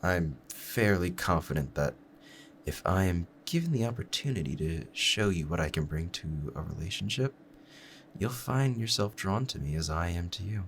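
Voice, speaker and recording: A man performs a lovestruck assassin with a very deep voice. He speaks formally and gently to the listener, courteous yet quietly dangerous, in an intimate audio roleplay. A faint ambient noise sits behind him. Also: animated, moderate pace. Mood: courteous, persuasive.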